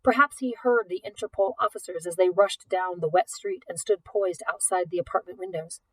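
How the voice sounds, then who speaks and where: A woman reading a novel aloud in an expressive, deliberate storytelling manner. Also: on the low side, no room sound, far from the mic, poor audio. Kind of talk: scripted audiobook narration.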